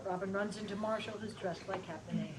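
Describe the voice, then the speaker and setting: A woman's voice: mid-range voice, steady tone, fast. A woman reading out stage directions as scripted narration at a table read. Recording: far from mic, clearly noisy room.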